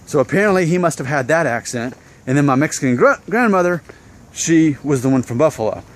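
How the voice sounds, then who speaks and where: A man in his thirties from Alabama with a mild Southern American accent, talking casually to camera in a relaxed, chatty way. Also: a little high, a little fast. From Alabama, USA. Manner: relaxed, chatty, thinking aloud. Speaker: vlogger.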